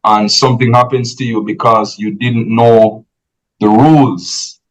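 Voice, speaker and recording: Slow, measured man. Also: medium pitch, steady tone, poor audio. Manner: measured and explanatory, often ends points with "right?". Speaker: podcast guest. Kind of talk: conversational advice-giving.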